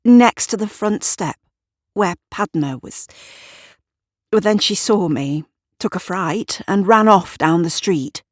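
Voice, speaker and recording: A woman's voice: mid-range voice, animated, takes it slowly, no echo. A nosy, self-assured neighbour, acted by a woman storyteller, gives her statement in an audio drama. She speaks up emphatically with gossipy certainty, recorded dry and without room sound. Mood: certain, defensive.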